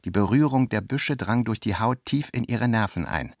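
A man's voice: fairly low pitch, lively intonation, moderate pace, creaky, no echo, studio quality. A man narrating literary prose as the narrator of a radio drama.

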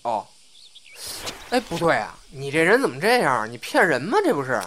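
Bashful, animated young man, played by an actor. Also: on the high side, normal speed. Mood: flustered. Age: young adult. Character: bashful, grumbling young male crosstalk apprentice. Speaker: crosstalk apprentice. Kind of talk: audio drama acting. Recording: background music under the voice.

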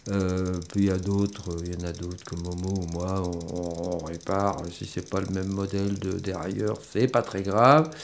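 A man's voice: sits low, fairly even intonation, moderate pace, few pauses, slight background noise. A man talking informally and hesitantly, in an anecdotal way, like a volunteer mechanic interviewed for an audio documentary. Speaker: volunteer bicycle mechanic at a community repair workshop.

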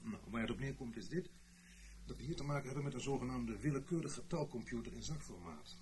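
An actor playing an earnest British intelligence officer, giving a formal, measured explanation in a Dutch radio play. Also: male voice, mid-range voice, lively intonation, background noise, natural voice. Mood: patient, instructive. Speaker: intelligence briefer.

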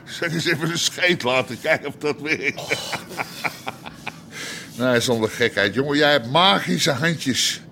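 An actor playing a brash, coarse, jovial crime boss in a naturalistic radio drama. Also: male voice, a little high, measured pace, gravelly, pauses often, pushed and bright, a little hiss or hum, exaggerated voice. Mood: boastful, jovial. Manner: coarse, swearing, bluff, grunting talk.

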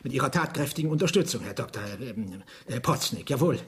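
An actor playing an anxious, doubting, nitpicking man in a German radio drama, speaking haltingly but insistently. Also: mid-range voice, lively intonation, fairly bright tone, slightly rough. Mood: doubtful, subdued. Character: anxious, doubting, nitpicking male accident suspect. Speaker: crime-fiction editor and client.

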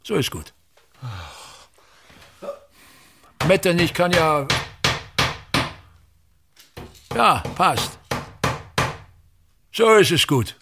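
An actor playing an obsessive, domineering old theatre director in a radio play, with a pompous, declamatory delivery. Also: male voice, high voice, sing-song, slow pace, gravelly, noisy background, exaggerated voice. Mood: pompous, insistent. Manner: declamatory, pompous, ranting in fits and starts.

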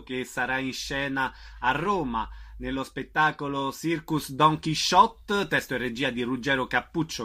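A man's voice: mid-range voice, pushed and bright. A man hosting a radio interview, addressing his guests informally.

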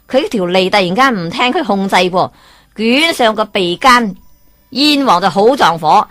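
A woman with a Hong Kong Cantonese accent telling a folk tale in a lively, exclaiming storyteller manner. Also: mid-range voice, no room sound.

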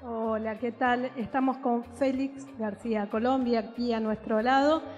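A woman's voice: a little high, steady tone, measured pace, darkish tone, big-room sound, highs cut off. A woman co-hosting a live community radio broadcast at an outdoor festival, friendly and announcing.

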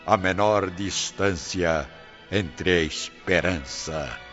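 A man's voice: low voice, slow pace, fairly bright tone. A man narrating a radio soap opera in a solemn, grandiloquent style. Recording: dull lo-fi audio with music behind.

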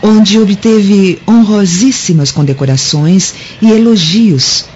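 A woman's voice: mid-range voice, takes it slowly, a little mellow, lightly acted. Actress playing a coy, seductive Roman noblewoman. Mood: coy, flattering. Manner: coy, insinuating. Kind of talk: radio drama acting. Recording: dull lo-fi radio drama, faint noise.

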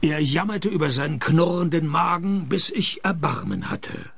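An actor playing a dry, sardonic private detective who narrates and questions people in a radio play, with a deadpan manner. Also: male voice, on the high side, normal speed, gravelly, husky, no room sound, exaggerated voice.

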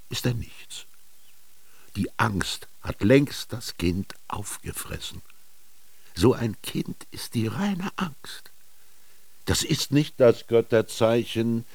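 Brooding, commanding master for radio drama: very low, slow, husky, declamatory. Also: male voice, no echo, full character voice. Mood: brooding, intense. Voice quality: gravelly, husky. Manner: declamatory, halting, with whispered emphasis. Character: commanding, brooding male master dictating his bitter text to a scribe. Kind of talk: German literary radio drama monologue.

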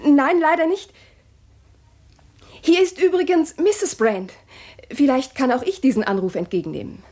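Nervous newlywed wife, tense, questioning, high-pitched. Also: female voice, measured pace, darkish tone. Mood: anxious. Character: anxious young newlywed wife, nervous, suspicious. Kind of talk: German radio drama acting.

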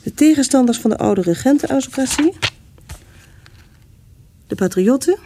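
A woman giving scripted historical narration for a radio drama in a measured, formal storytelling manner. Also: high voice, expressive, warm tone, a little hiss or hum.

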